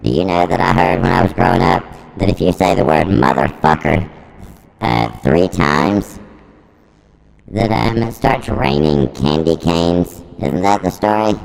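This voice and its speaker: A man in his forties rambling through a deadpan, profane improvised comedy monologue, his voice run through a pitch-shifting voice transformer. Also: unhurried, vocal fry, rough recording. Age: 40s. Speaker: comedic host demonstrating a voice-effect device.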